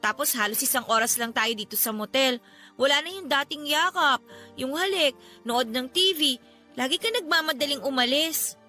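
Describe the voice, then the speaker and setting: A very high, piercingly bright young Filipino woman in a radio drama. She is clingy and desperate, pleading with her lover with open emotion. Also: normal speed, soft background music. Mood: sulky, hurt.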